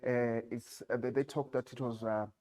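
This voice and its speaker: Halting man from Zimbabwe presenting slides. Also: medium pitch, steady tone, warm tone. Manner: halting, with many fillers and restarts. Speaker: designer giving a talk. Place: lecture hall.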